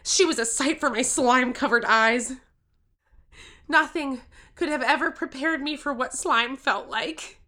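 A woman voicing an earnest, expressive 13-year-old game-show contestant who narrates their own story in an audio drama. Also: high-pitched, a little slow. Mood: tense, vivid.

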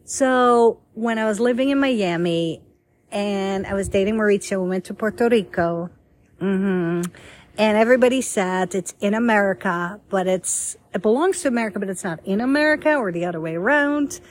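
A middle-aged woman from the Netherlands, casually telling her own life story in a chatty, lively way. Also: mid-range voice, normal speed, slight background noise. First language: Dutch. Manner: chatty and lively, acts out quoted dialogue.

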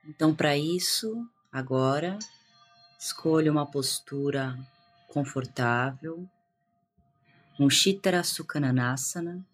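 Slow, low, husky Brazilian woman guiding meditation. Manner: calm, hushed, with long pauses. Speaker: meditation guide, integrative therapist. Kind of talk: guided meditation. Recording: music behind the voice. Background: meditation music.

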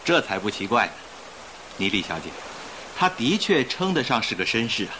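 An actor playing a suave, confident young gentleman with an emphatic, persuasive delivery in a Chinese radio drama. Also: fairly low pitch, very animated, normal speed, noisy background. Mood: admiring, animated. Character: suave confident young gentleman passenger, admiring a famous thief. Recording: radio drama with faint music behind.

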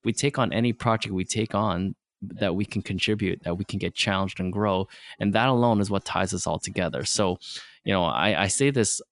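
Podcast guest, middle-aged guy, lowish voice, dry close sound, vietnamese first language, says "right?" a lot. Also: normal speed, dry recording. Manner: plain simple wording, frequent restarts and "right?" tags. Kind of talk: casual conversation.

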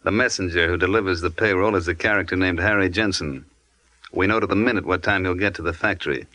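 An actor playing a tough, bossy American gang leader in an old-time radio crime drama, commanding and slangy. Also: male voice, deep voice, fast talker, sharp tone. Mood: scheming, commanding. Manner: commanding, slangy, sure of himself.

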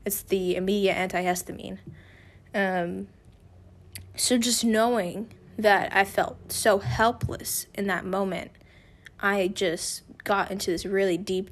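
Young woman w a slightly raspy voice, solo podcast, rambly and open, just talking. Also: medium pitch, steady tone, takes it slowly. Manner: candid, rambling, with frequent restarts. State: raspy from feeling unwell. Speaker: podcast host. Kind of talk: casual personal podcast monologue.

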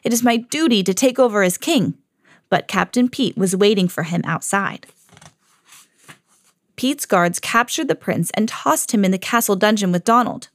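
A mother hosting her own podcast, reading a children's storybook aloud with lively jumps for the quoted lines. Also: medium pitch, moderate pace, no echo, studio quality. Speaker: mom reading to her kids, podcast host.

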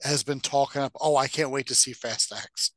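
A man's voice: medium pitch, steady tone, normal speed, fairly bright tone, no room sound. A man co-hosting a podcast in casual, informal conversation with some swearing. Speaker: podcast co-host. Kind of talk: casual chat about movies and video games.

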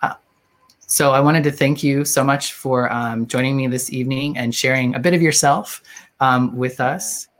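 Guy hosting a video call interview, soft and kinda hesitant, lots of ums, middle pitch. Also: steady tone, normal speed. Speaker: host. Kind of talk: casual interview chat.

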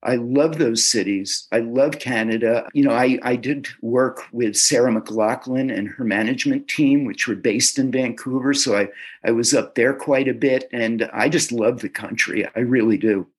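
A man being interviewed as an author, answering in a casual, halting way and often repeating words as he starts a sentence. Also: medium pitch, breathy edge. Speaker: author guest.

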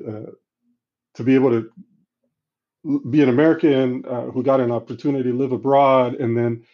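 A middle-aged man who grew up in Central Africa, answering interview questions in a halting, reflective way with many restarts. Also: medium pitch. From Congo, Central Africa. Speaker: guest. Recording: slightly dull, lo-fi sound.

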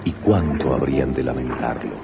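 An actor narrating a historical radio drama in a grave, solemn, measured manner. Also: male voice, very deep voice, vocal fry, highs cut off. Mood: grave, elegiac. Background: loud music.

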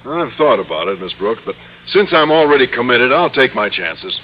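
Earnest, naive teacher, muffled recording. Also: male voice, medium pitch, sing-song, normal speed. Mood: earnest, friendly. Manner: earnest, hesitant, guileless. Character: earnest, naive, mild-mannered bachelor male teacher. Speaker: actor in a radio comedy. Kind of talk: old-time radio sitcom acting. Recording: dull, noisy vintage radio recording.

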